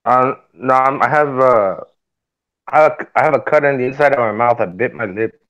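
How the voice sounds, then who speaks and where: A man in his forties from Mexico talks casually on a podcast panel. His voice sits at an average pitch, and he tells personal stories in a rambling way, circling back over his words. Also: a little fast, highs cut off. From Tijuana, Mexico. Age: 40s. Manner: rambling, repeats himself. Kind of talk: casual chat, personal storytelling.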